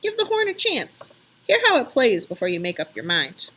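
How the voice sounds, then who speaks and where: A woman's voice: fairly high pitch, wildly swooping pitch, normal speed, fairly bright tone, slight background noise. A woman voicing a seasoned, kindly pawn shop man from a storybook, with an emphatic, folksy, persuasive way of talking. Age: middle-aged or older.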